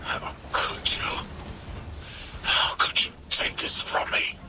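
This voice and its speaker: A man's voice: slow, heavy background noise, close to an everyday voice. A man playing a grandiose, vengeful, ruined alien house leader in a video game, with theatrical declamation. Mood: anguished fury. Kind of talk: dramatic video game acting. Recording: loud music over the voice.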